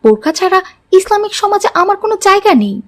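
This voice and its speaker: A very high-pitched teenage girl from a moral-tale cartoon, acted by a woman. She is earnest and stubborn, and states her case in careful, formal sentences. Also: very animated, fast. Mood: polite, firm.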